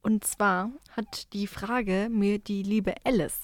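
A woman's voice: medium pitch, fairly even intonation, takes it slowly, dry recording. A young woman co-hosting a podcast, chatting in a playful, teasing way and asking her partner questions. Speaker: podcast co-host. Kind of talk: casual chat.

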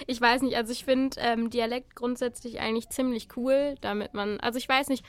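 A woman's voice: a little high, steady tone, fairly quick, smooth, no echo. A young woman from the Ruhr area chatting casually as a guest on a radio show, outspoken and laughing often. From Ruhr area, Germany.

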